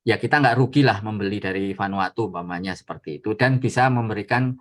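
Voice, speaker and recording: A man with a fairly low voice answers as a guest lecturer. He explains things informally and somewhat hesitantly, softening his points with little tags. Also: quick pace. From Indonesia. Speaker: trade attaché, guest lecturer.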